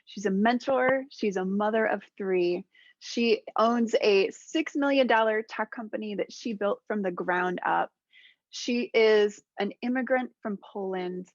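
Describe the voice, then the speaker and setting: A woman of middle pitch introduces her guest with clear enthusiasm, sounding like a host opening a show. The sound is dull and lo-fi, with a very dry, dead feel around the voice. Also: unhurried, dry recording. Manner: enthusiastic, warm introduction of a friend. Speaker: podcast host.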